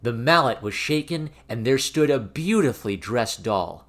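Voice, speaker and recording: A man reading a fairy tale aloud as an expressive, theatrical storybook narration. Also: mid-range voice, normal speed. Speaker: storyteller, reader of fairy tales.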